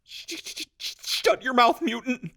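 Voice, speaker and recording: A man's voice: very high-pitched, normal speed, sharp tone, full character voice. A man playing a frightened, stammering jail guard putting on nervous bravado in an audio drama. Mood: scared bravado. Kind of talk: post-apocalyptic audio drama acting.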